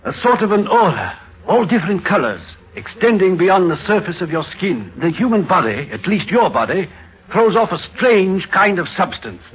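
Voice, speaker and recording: A man acting an excited, driven doctor and researcher in a dramatic 1950s radio play style. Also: high voice, normal speed. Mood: awed. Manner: dramatic, theatrical. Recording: muffled vintage radio recording.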